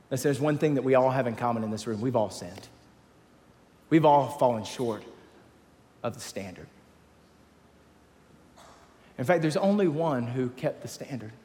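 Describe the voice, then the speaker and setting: A man's voice: on the high side, a little mellow, long reverb tail. A man preaching a sermon to a men's group, emphatic and repeating key phrases. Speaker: pastor. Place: large church hall.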